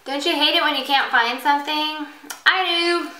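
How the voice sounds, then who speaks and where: A woman with a bright voice hosts a hairstyling tutorial in an informal, chatty way. She explains each step casually while demonstrating. The room is noticeably echoey and she sits a little off the mic. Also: sits high, fairly even intonation, moderate pace, far from the mic, a little hiss or hum. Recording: bright roomy echo, off the mic.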